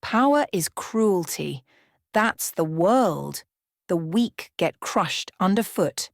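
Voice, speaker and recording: A woman's voice: on the low side, animated, takes it slowly, creaky, dry recording, clean studio sound, heavily acted. A woman voicing an arrogant, scoffing middle-aged male crime boss in a storybook reading. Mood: scornful. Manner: scoffing, barking, irritated.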